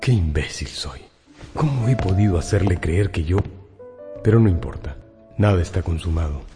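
A man's voice: low-pitched, lively intonation, normal speed. A man playing a selfish, cynical lover who feigns tragic remorse in a theatrical radio drama. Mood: scornful, self-justifying. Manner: theatrical, self-absorbed. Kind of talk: Spanish-language radio drama acting. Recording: long hall reverb, music underneath.

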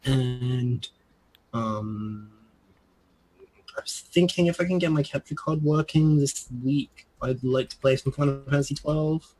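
A man with a husky voice plugs his plans on a stream. He is hesitant and casual, stopping often on "um" and "uh". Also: mid-range voice, unhurried, a little mellow, poor audio. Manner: hesitant, many fillers. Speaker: regular player. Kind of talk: casual chat.

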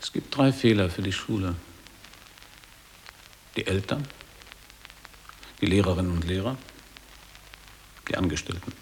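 A man playing a probing former boarding school pupil back on a visit, speaking deliberately in a German radio drama. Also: low-pitched. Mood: lecturing. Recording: long hall reverb, audible background noise.

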